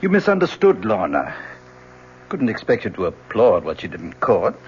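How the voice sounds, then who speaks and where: Middle-aged professor, husky voice, expressive swings, earnest and formal, old radio drama, dull recording. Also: male voice, lowish voice, full character voice. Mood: firm, defensive. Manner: earnest, formal, measured phrasing. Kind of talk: 1970s radio drama acting.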